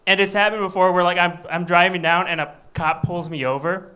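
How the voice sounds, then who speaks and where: A man's voice: high voice, fairly even intonation, fairly quick, pushed and bright. A man from Michigan doing a live stand-up set on a comedy club stage, bantering wryly with the crowd. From Michigan, USA. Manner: wry, chatty crowd banter.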